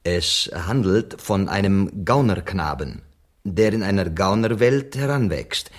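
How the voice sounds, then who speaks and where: Low-voiced, courteous Spanish interpreter. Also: male voice, animated. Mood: patient, translating. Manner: explanatory, measured, mixing in Spanish phrases. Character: amused, courteous Spanish interpreter guiding a foreign writer. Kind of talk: German radio play acting.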